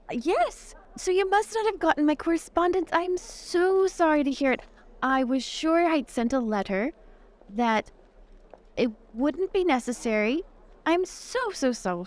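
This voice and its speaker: A woman playing a genteel young lady in improvised tabletop roleplay, gushing, halting and evasive as she apologises and reassures. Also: sits high. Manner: gushing, halting, over-polite.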